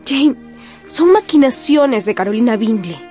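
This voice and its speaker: A woman playing a spirited, proud young gentlewoman in a radio drama, earnest and self-possessed. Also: a little high, animated, unhurried, soft background music. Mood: firm, reassuring.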